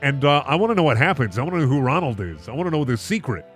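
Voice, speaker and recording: An expressive man hosting a reading of bad fan fiction, with sardonic commentary in between. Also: mid-range voice, rapid-fire, faint music behind. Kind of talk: dramatic reading with mocking commentary.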